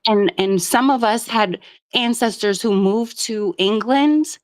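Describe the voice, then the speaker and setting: A woman from Jamaica calling in to a live show, speaking earnestly and at length, with many pauses and "um"s. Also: fairly high pitch. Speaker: caller. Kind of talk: casual call-in commentary.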